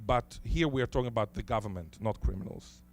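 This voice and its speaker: A man whose first language is Hebrew, giving a conference talk in a lecture hall, posing rhetorical questions and stressing key words deliberately. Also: lowish voice, soft background music. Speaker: conference speaker.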